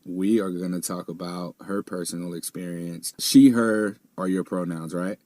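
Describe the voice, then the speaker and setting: A man's voice: low voice, steady tone, normal speed, mellow, no room sound. A man in his thirties hosting a podcast in a casual, rambling way, laughing easily at his own asides. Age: 30s. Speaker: podcast host.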